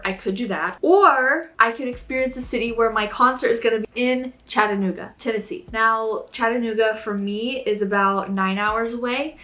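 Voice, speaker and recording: A woman's voice: mid-range voice, a bit bright. A young woman vlogging casually to camera, chatty and full of fillers, her voice strained and sore. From Florida, United States. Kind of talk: casual vlog talk to camera.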